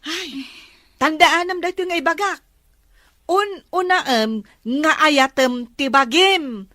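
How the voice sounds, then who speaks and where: A woman's voice: sits high, slow pace, pushed and bright, no room sound, exaggerated voice. A Filipino woman playing a protective, exasperated female friend in an audio drama, speaking in an emphatic, admonishing way. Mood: scolding, insistent. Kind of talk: emotive audio drama acting.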